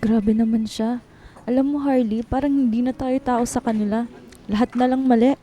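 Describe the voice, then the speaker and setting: A woman's voice: a little high, fairly even intonation, normal speed, dark tone, natural voice. A woman playing a supportive, protective office friend, consoling and loyal, in a radio drama. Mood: indignant. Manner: consoling, indignant on friend's behalf.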